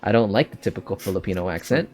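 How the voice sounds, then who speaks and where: Low-voiced Canadian man hosting a podcast. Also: lively intonation, rapid-fire, a little mellow, faint music behind. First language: English. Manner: rambling, restarts often. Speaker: podcast host. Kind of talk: casual chat.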